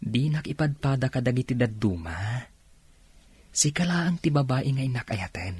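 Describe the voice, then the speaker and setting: An actor playing an ardent young Filipino boyfriend in a radio drama, with a husky, breathy voice and an Ilocano accent. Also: male voice, mid-range voice, animated, moderate pace, a little mellow, dry recording. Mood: earnest, reassuring.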